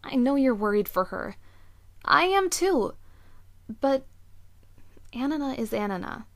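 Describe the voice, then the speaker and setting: A woman playing a caring, loyal young friend in an audio drama, gentle and reassuring. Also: sits high, very animated, measured pace, dry recording, exaggerated voice. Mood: concerned, reassuring.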